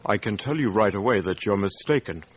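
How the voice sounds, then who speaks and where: An actor playing an evasive playboy under questioning in a radio drama, defensive and terse. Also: male voice, fairly low pitch, animated, brisk, a little hiss or hum, natural voice. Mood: defiant. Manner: guarded, terse replies. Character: defensive, evasive male playboy suspect, cornered yet defiant.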